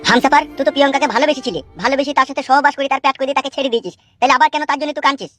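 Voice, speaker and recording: A woman's voice: sits high, steady tone, rapid-fire, over music, lightly acted. A woman voicing a sly, scheming young male friend in a comedy cartoon, slangy and insinuating. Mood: probing. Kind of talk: Bengali comedy cartoon dub.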